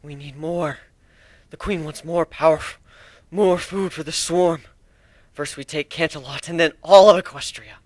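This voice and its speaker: A man's voice: fairly high pitch, fairly even intonation, a little slow, no room sound, lightly acted. A man voicing a captive female insectoid shapeshifter drone, frightened but defiant, in a strained voice for a dramatic story reading. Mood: resigned, explaining.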